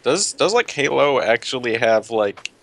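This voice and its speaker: A man's voice: mid-range voice, big pitch swings, unhurried, creaky, fairly bright tone. A man bantering casually as a panelist on a live stream, in short informal remarks.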